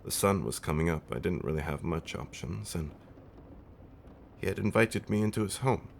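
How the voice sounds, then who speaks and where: A man's voice: deep voice, expressive, normal speed, creaky, a little hiss or hum. A man playing an affectionate, centuries-old vampire husband in an ASMR roleplay, telling stories in a theatrical, teasing, old-fashioned way with dramatic swells and whispers. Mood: wistful, fond.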